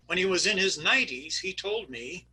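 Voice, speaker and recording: Bright, husky-voiced man narrating a story. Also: sits high, lively intonation, moderate pace, rough recording. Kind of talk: reader's theater narration. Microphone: video call.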